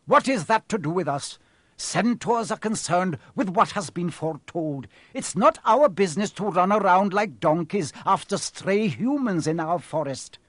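A man's voice: sits high, lively intonation, normal speed, dry recording, voice pushed up. A man voicing a proud, angry male centaur who speaks in a thundering, scolding manner.

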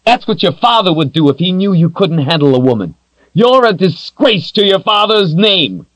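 A man voicing a defiant young woman captive who screams and mocks in a high, strained voice. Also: very animated, normal speed, no room sound. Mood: taunting. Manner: shrill, screaming and mocking.

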